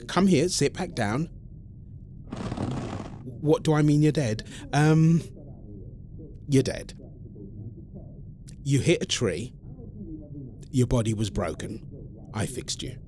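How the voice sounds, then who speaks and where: A man playing a centuries-old vampire boyfriend in an ASMR roleplay, exasperated but protective, speaking haltingly and pleadingly to the listener. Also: medium pitch, background noise. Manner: hesitant, halting, pleading, addresses listener directly.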